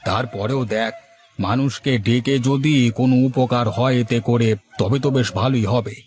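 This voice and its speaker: A man's voice: mid-range voice, steady tone, normal speed, a little mellow, natural voice. A man voicing a concerned, neighbourly village farmer in a cartoon moral story, talking in a folksy, exclamatory way.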